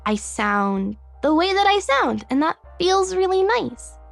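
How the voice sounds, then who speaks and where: A woman's voice: very high voice, sing-song, measured pace, gravelly, dry recording, soft background music. A woman guest on a podcast, chatting casually in a bubbly, giggly way.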